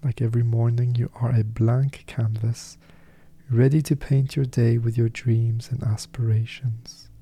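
A man leading a guided meditation with affirmations, soft-spoken and soothing, leaving unhurried pauses. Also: medium pitch, level tone, slightly rough.

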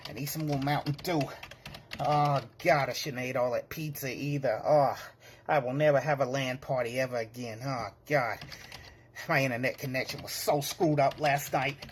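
A man's voice: medium pitch, fairly even intonation, normal speed, lightly acted. A man playing a nervous, bumbling young tech-support rookie, hesitant, apologetic and stammering, in a comedic monologue. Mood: panicky. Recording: clearly noisy background, keyboard clicks.

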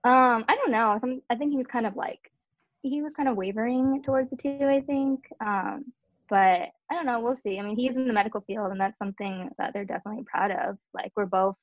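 A woman's voice: a little high, brisk, dry recording, narrow, tinny audio. A young woman talking casually on a podcast, chatty, with frequent fillers. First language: Cantonese and English. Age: young adult.

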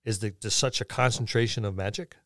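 A man's voice: on the low side, fairly even intonation, brisk, fairly bright tone, no echo. Man musing casually, in a dry room. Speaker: podcast co-host. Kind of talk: casual tabletop gaming discussion.

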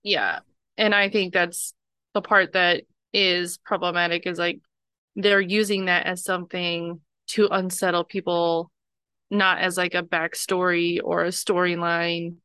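Young adult woman, podcast co-host; bright, dry, a bit low-pitched, steady recap delivery. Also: unhurried, smooth, dry recording. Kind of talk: casual chat recapping a film.

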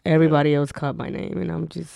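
Joking Dominican woman, smooth voice. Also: low-pitched, level tone, rapid-fire, dark tone, no echo, studio quality. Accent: Dominican Spanish with New York English. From Dominican Republic. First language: Spanish. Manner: blunt, joking, swears freely. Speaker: podcast co-host. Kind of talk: casual chat.

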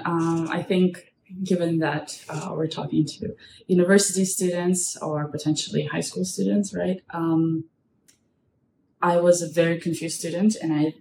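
A woman's voice: deep voice, darkish tone. A young woman from Russia giving conversational answers as a podcast guest about her professional life. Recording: echoey room, faint background noise.

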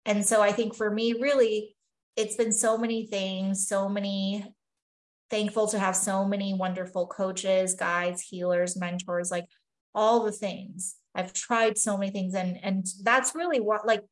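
Woman from taiwan talking slow and thoughtful on a podcast, lots of "you know". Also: mid-range voice, fairly even intonation, reverberant. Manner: earnest, thoughtful, many "you know" and "really". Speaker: guest, physician and life coach. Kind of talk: reflective podcast conversation.

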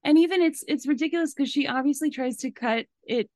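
A woman's voice: sits high, a little fast, a little mellow, no echo. A woman co-hosting a podcast, giving casual and disapproving commentary. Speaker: podcast host.

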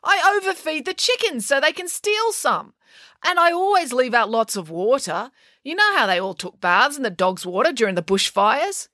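Woman putting on an excitable, outraged mum, swooping high. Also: normal speed, no room sound, studio quality. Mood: indignant. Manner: dramatic, emphatic, indignant. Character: excitable, outraged middle-aged mother prone to tall tales. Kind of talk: audiobook character dialogue.

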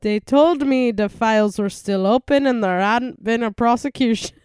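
A woman putting on an attempted Irish accent to voice a Dublin woman recounting a horrifying ordeal, read fast and giggly. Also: a little high, darkish tone, clean tone, dry recording, lightly acted. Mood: horrified. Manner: sped-up, giggly reading.

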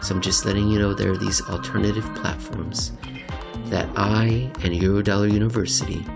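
A man's voice: on the low side, fairly even intonation, fairly quick, creaky, music in the background. A man hosting a podcast, reading in a wry, dramatic way that mixes theatrical comic narration with casual commentary. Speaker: podcast host and reader.

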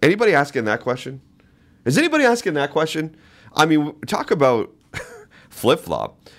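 A man's voice: on the high side, sing-song, moderate pace. A man hosting a podcast, giving political commentary in an emphatic way and repeating phrases for stress. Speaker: podcast host.

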